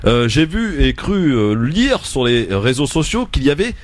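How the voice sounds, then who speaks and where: A man's voice: mid-range voice, very animated, unhurried. A man hosting a radio talk show, bantering with guests and introducing a correspondent. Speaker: radio host.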